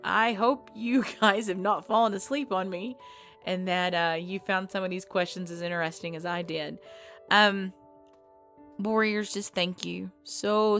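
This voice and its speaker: Gravelly low-voiced woman host. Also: a bit bright. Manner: playful, self-deprecating, chatty. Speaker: ASMR channel host. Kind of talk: casual subscriber Q&A.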